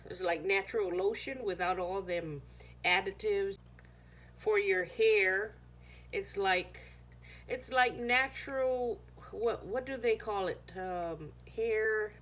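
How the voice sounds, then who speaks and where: A woman's voice: mid-range voice, lively intonation, slow pace, fairly bright tone. A woman whose first language is Chamorro, chatting casually through a home how-to video, sometimes searching for words. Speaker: home cooking vlogger.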